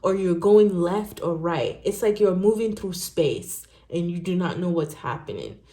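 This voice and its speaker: A woman's voice: on the low side, a little slow. Chatty Nigerian woman in an echoey room. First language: not English. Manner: chatty, animated, many "okay?" and "right?" tags. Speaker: tarot reader. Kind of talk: casual spoken tarot reading to viewers. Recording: roomy echo.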